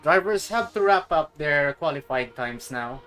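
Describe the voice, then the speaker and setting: Bright-voiced man with Filipino accent. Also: fairly high pitch, expressive, measured pace, faint music behind. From Philippines. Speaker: race co-commentator.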